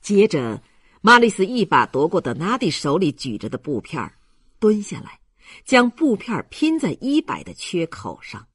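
A man's voice: sits high, huge pitch swings, normal speed, a bit bright, breathy edge, no room sound, studio quality. A man narrating a novel as a dramatic audiobook reading.

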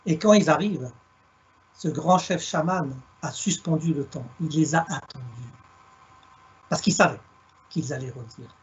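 Husky middle-aged guy telling stories slowly on a video call, lo-fi sound, kinda high voice. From France, Alsace. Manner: measured, reflective, plays on words. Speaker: guest speaker, storyteller. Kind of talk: online talk, storytelling. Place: home room on a video call.

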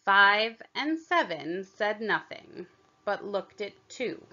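A woman reading a children's story aloud in deliberate, expressive narration, on a slightly dull recording. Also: medium pitch. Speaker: storybook reader.

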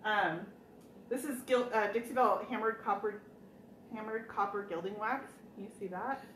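A woman in her thirties hosting a casual live furniture painting demo, chatty and quick to laugh as she jokes with viewers. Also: mid-range voice, a bit bright, slight background noise. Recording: echoey room, mic at a distance.

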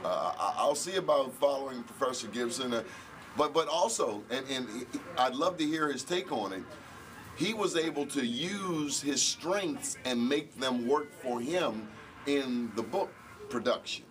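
Middle-aged man, calm reflective interview. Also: mid-range voice, faint music behind. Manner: reflective, measured, gestures with hands. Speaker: event organizer and radio host. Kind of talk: on-camera interview. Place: indoor event hall.

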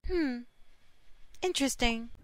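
A woman playing a friendly, curious young lab intern in a comic dub, perky and expressive. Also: high voice, takes it slowly. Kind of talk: comic book dub acting.

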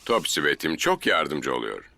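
A man's voice: rumbling low, sing-song, moderate pace, vocal fry, bright, forceful tone, a little hiss or hum, heavily acted. A man voicing a friendly, encouraging neighbour and builder in a children's cartoon dub. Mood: cheerful, encouraging. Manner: encouraging, praising.

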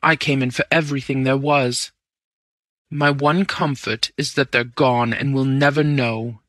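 A man voicing a desperate young gentleman who has lost everything, pleading and anguished. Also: fairly high pitch, very slow, creaky, no room sound, heavily acted.

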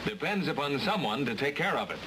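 A hissy, noisy old film soundtrack carries an American man narrating a vintage training film. His voice sits a little high and moves with lively intonation. He reads his script in a measured, instructional way, with every word clearly enunciated. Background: loud hiss.

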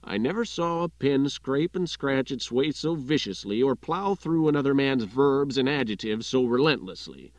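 A man's voice: mid-range voice, animated, moderate pace, few pauses, no room sound. A man reading a literary short story as an audiobook narrator, in scripted narration.

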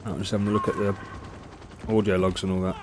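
A man with a fairly low voice chats casually on a game stream. He sounds informal and offhand, and there is clear noise from the game behind him. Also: little pitch movement, fast. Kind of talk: casual gameplay stream chat.

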